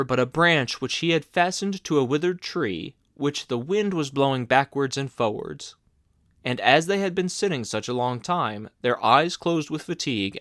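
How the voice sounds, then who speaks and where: A man narrating a classic fairy tale in a steady, clear storybook reading. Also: mid-range voice, dry recording, clean studio sound. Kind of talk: fairy tale read-aloud.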